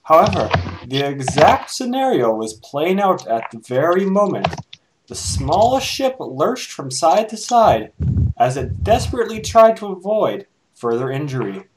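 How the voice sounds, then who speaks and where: A man giving a halting, theatrical amateur narration of a fan fiction story. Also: on the high side, very animated. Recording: amateur recording with hiss and clicks.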